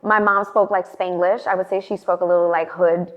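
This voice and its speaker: A woman's voice: fairly low pitch, flat delivery, fairly quick, dull audio. Chatty New York woman in her late twenties. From the Bronx, New York. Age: late 20s. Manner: chatty, lots of "like" and "you know". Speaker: guest, fashion influencer. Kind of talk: casual interview conversation.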